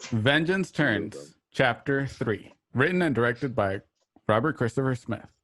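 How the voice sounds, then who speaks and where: A man's voice: mid-range voice, lively intonation, a little slow, a bit bright, dry recording. A man hosting a radio drama show, introducing it with a playful, theatrical announcer delivery and joking banter.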